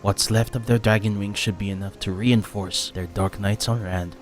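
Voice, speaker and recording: Man playing a blunt low-voiced strategist. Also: steady tone, faint music behind, natural voice. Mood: analytical, resolved. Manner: blunt, measured. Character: straightforward, grave male strategist, fisherman's son.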